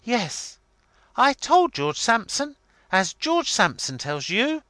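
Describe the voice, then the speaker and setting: Irrepressible little sister, really high pitched, bossy storybook drama queen, swoopy. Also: sing-song, takes it slowly, pushed and bright, no echo, heavily acted. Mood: dramatic indignation. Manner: exclaiming, bawling, melodramatic. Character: irrepressible bossy teenage younger sister, shrill and theatrical.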